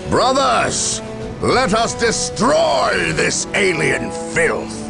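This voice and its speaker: An actor playing a proud, stern space marine captain in a video game cutscene, with a declamatory, commanding delivery. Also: male voice, high voice, very animated, extremely slow, gravelly, heavily acted. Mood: rousing. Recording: loud game music behind the voice.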